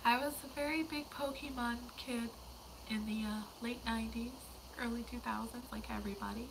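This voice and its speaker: A young woman hosting a casual livestream, hoarse and losing her voice to a cold, chatting in a rambling way that slips into whispers. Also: a little high, steady tone, normal speed, noisy background. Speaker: livestream host.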